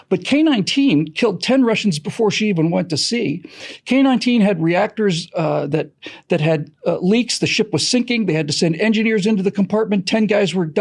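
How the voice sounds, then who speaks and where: A man telling history with strong opinions in an interview, explaining emphatically and repeating key phrases deliberately. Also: high-pitched, a little mellow. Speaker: podcast creator being interviewed.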